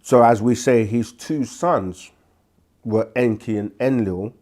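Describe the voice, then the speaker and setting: A man hosting a live question-and-answer stream, speaking with a sore throat and a congested voice, deliberate and full of restarts. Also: mid-range voice, a little mellow. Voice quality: slow, deliberate delivery with frequent restarts. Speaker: host answering viewer questions. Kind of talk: livestream Q&A teaching.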